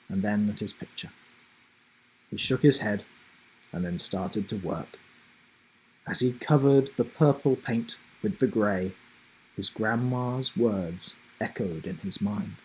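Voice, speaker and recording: A man reading a children's story aloud in a dramatic, deliberate narrating style. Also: lowish voice, a little mellow, a little hiss or hum, rough recording. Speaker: storybook reader, host.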